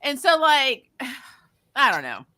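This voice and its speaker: A woman's voice: high voice, wildly swooping pitch, slow pace, pushed and bright. A woman co-hosting a casual review chat, animatedly retelling things and acting out quotes. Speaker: review show co-host.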